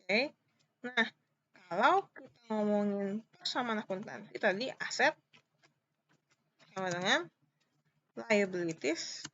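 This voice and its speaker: A woman lecturer with an Indonesian accent explains things informally, one step at a time. Her voice is bright and forward, at an average pitch for a woman. She repeats key points and often checks in with short questions, on a slightly muffled, lo-fi recording. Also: animated, slow, long pauses, slight background noise. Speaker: accounting lecturer.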